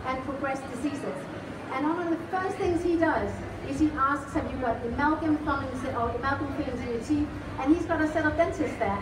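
A woman's voice: high voice, background noise. A middle-aged woman giving a live health talk on a stage, chatty and emphatic with restarts and fillers. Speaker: health speaker presenting to an audience. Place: large exhibition hall stage. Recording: echoey exhibition hall from far off.

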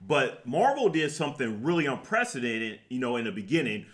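A middle-aged man talks through a movie review as a co-host. His delivery is unscripted and conversational, at a medium pitch, often repeating a phrase and circling back before he lands the point. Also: big pitch swings, fairly quick. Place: indoor room, seated before a projection screen.

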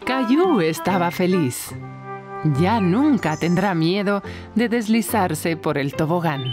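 A woman's voice: lowish voice, expressive, slow pace, over music. A woman with a Latin American accent reading scripted narration as the storyteller of a children's cartoon.

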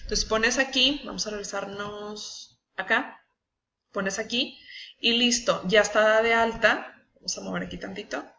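A young woman with a Mexican Spanish accent guides viewers through an online tutorial at a patient, steady pace. Her voice has a bright, clear edge. The sound is slightly dull and lo-fi, like a built-in laptop mic. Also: mid-range voice, measured pace, a little hiss or hum. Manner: patient, step-by-step explaining with frequent checks like "¿sí?" and "¿ok?". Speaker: nutritionist walking team members through a website. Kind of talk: screen-recorded tutorial.

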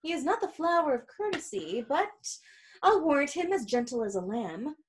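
A woman's voice: high-pitched, sing-song, normal speed, slight background noise. A woman reading the part of a weary, complaining old nurse in a Shakespeare play, dramatic, groaning and stalling. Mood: teasing.

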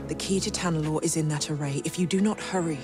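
An actress playing a solemn, commanding female master of a mystic order, measured and formal, in a video game cutscene. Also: low-pitched, steady tone, music in the background, close to an everyday voice. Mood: grave.

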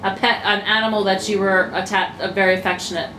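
Family interviewer, woman, soft prompting questions; roomy home recording. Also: medium pitch, flat delivery, quick pace. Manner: gentle questions, small encouraging replies. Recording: echoey room with background hum.

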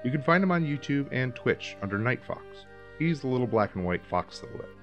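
A man's voice: mid-range voice, big pitch swings, a little fast, dull audio, faint music behind. A man reading scripted podcast credits as the show's producer.

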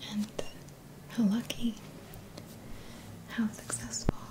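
A woman in her thirties whispers gentle, soothing affirmations as an ASMR energy healer, in a soft, breathy voice close to the mic. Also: on the high side, level tone, rough recording. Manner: gentle, slow, deliberate phrasing with pauses. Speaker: ASMR energy healing practitioner. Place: small dim indoor room. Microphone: close mic, seated near the camera.

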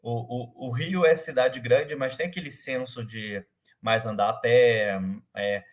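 A man in his thirties speaks with a carioca accent worn down by years in São Paulo. He explains things in a loose, hesitant way, stopping to restart his sentences and filling pauses. The sound comes from a webcam on a video call at home. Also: medium pitch, steady tone. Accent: carioca Brazilian Portuguese, softened by years in São Paulo. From Rio de Janeiro, Brazil. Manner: hesitant, many fillers and restarts. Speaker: guest, company manager. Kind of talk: casual interview conversation. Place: room at home, indoors. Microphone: webcam or laptop mic on a video call.

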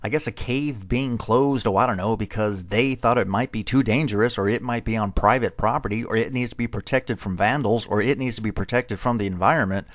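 A man's voice: lowish voice, brisk, hardly any pauses. A man narrating a video voice-over with dry, mocking sarcasm.

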